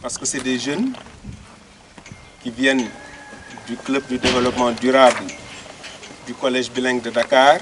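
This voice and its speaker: A man speaks in a soft welcoming voice at an outdoor school event. Traffic and outdoor ambience are clearly heard behind him, and his pitch sits at an ordinary middle level. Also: flat delivery, normal speed, long pauses. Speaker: school director welcoming guests. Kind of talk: welcome speech.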